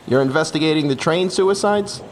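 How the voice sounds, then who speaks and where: An actor playing a uniformed police officer in an audio drama, probing and falsely cheerful with quiet menace. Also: male voice, fairly high pitch, normal speed, a little hiss or hum, natural voice. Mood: pointed, veiled menace.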